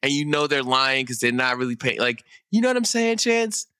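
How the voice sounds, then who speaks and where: Animated man on a podcast, crisp dry sound. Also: medium pitch, fairly quick, no echo. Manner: animated, agreeing, heated, slangy ("bro", "yo"). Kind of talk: casual podcast chat.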